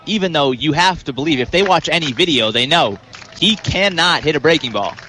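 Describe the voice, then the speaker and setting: A man's voice: fairly high pitch, animated, fairly quick, pushed and bright, a little hiss or hum. An American man giving live analytical baseball commentary in an explanatory, matter-of-fact way.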